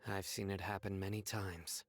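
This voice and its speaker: Solemn male game warrior, deep voice, grave and stern delivery. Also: flat delivery, normal speed, faint music behind. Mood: grave disapproval. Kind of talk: video game voice acting.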